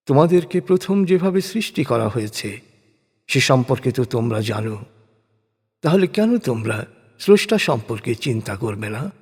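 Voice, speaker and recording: Husky man reciting scripture in long reverb. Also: fairly high pitch, a little mellow. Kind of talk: solemn scripted religious narration.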